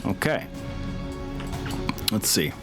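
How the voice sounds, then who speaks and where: A man acting as game master in a casual tabletop session, thinking aloud as he counts out moves. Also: drawn-out words. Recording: music behind the voice.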